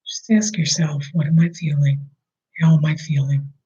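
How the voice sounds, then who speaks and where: A meditation guide leading a slow, soothing breathing meditation, speaking softly and at times almost in a whisper. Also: medium pitch, fairly even intonation, highs cut off.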